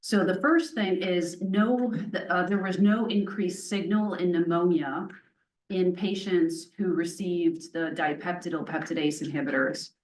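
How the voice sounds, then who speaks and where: A woman's voice: on the low side, fairly even intonation, unhurried, darkish tone. A woman physician at a hotel conference podium, earnestly and reassuringly explaining drug safety to patients. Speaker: physician answering patient questions. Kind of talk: conference Q&A answer. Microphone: podium mic, heard from the room camera. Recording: echoey room, distant mic.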